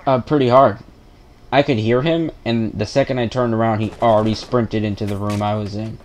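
A man's voice: lowish voice, fairly even intonation, fairly quick, slight background noise. A young man playing an online shooter, chatting casually in a joking, teasing way. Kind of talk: casual gaming banter.

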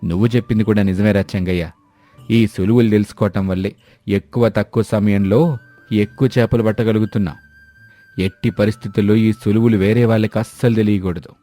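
Cartoon village tale: stern, grudge-bearing elder brother, played by a male narrator, mellow timbre, music underneath. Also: on the low side, fairly even intonation, brisk, close to an everyday voice. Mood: smug, guarded. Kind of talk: dramatised moral story dialogue.

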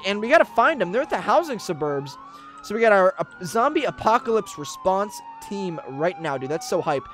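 A young American man doing casual, excitable roleplay gameplay commentary, chatting straight to his viewers at a close mic. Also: sits high, slight background noise. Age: young adult. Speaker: gaming video host. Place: indoor room gaming setup with webcam.

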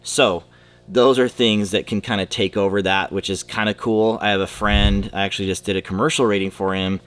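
A man in his thirties, a flight instructor, explaining rules to camera in a relaxed, conversational way from a hangar. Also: low-pitched. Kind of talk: casual instructional talk to camera. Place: aircraft hangar, indoors. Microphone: close camera, seated at desk.

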